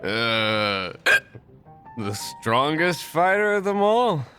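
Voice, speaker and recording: A man's voice: fairly high pitch, big pitch swings, extremely slow, bright, forceful tone, soft background music, exaggerated voice. A man voicing a merry, tipsy tavern patron in a video game, jolly and rambling.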